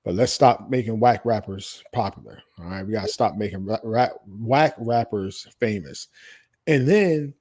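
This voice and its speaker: A man's voice: lowish voice, normal speed, a little mellow. A man co-hosting a podcast in casual, slangy chat, with some swearing. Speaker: podcast co-host.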